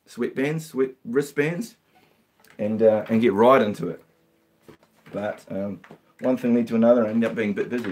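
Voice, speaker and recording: Slightly croaky middle-aged man chatting. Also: mid-range voice. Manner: chatty, reads out viewer comments. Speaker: guitar teacher and live-stream host. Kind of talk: casual live-stream chat.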